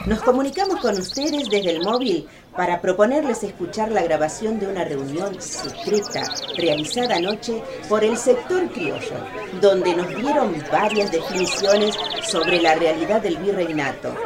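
A woman's voice: medium pitch, lively intonation, normal speed, few pauses, over a noisy crowd, close to an everyday voice. A woman playing an eager radio field reporter in a radio play. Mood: bright, eager. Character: chirpy female radio field reporter in 1810.

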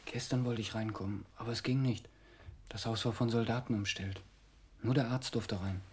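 A young visitor in a radio drama. His voice is lowish and strongly breathy, and he speaks with earnest, respectful concern. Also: fairly even intonation, normal speed, darkish tone. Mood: hesitant, earnest. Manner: deferential, earnest. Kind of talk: German radio play acting.

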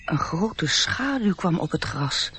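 An actor as the gentle narrator of a fairy tale in a radio play, telling it in storybook style. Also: male voice, high voice, big pitch swings, slow pace, a little hiss or hum, heavily acted. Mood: lyrical.

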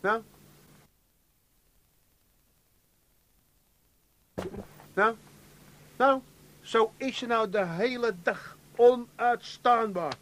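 Bright, high-pitched Amsterdam pub regular. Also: male voice, slow pace, exaggerated voice, poor audio. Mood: exasperated. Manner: colloquial, blunt. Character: street-wise Amsterdam pub regular, bossy husband and son. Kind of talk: comic radio play acting. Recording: 1980s radio play with faint noise.

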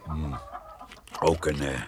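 An actor playing a sly, rambling old farmhand in a radio play, hesitant and repeating himself. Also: male voice, rumbling low, flat delivery, slow. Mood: dry, easygoing. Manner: hesitant, repeats words, refers to himself by name. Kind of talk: Dutch radio play acting. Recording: noisy radio play recording.

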